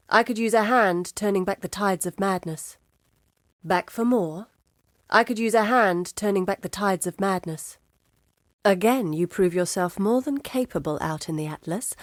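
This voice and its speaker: Earnest, measured game woman scholar by an actress, dry sound. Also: lowish voice, no echo, close to an everyday voice. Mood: serious, purposeful. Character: guarded, earnest woman scholar-explorer guiding an adventurer. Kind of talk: scripted video game voice acting.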